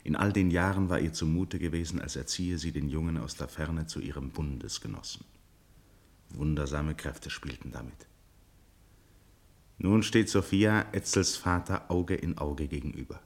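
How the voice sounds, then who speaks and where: An actor as the narrator of a radio drama, telling the story in a grave, literary, deliberate manner. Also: male voice, rumbling low. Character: grave, measured male storyteller.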